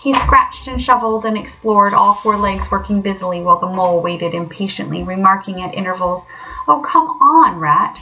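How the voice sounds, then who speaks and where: A woman, a teacher reading aloud, giving an expressive narration of a children's story. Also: medium pitch, fairly quick, few pauses, muffled recording, a little hiss or hum.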